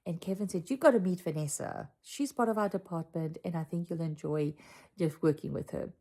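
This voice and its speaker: A woman's voice: lowish voice, a little fast, mellow. A South African woman, older, sharing a casual personal story with her channel viewers from home, chatty and full of asides. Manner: chatty and reflective, drifting into asides. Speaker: channel host. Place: home room with a Christmas tree.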